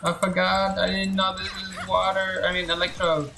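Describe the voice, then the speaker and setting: A woman's voice: mid-range voice, flat delivery, moderate pace, over music. A woman game streamer chatting casually and playfully with viewers while playing on a livestream. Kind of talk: casual livestream gameplay chat. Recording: roomy echo, faint background noise.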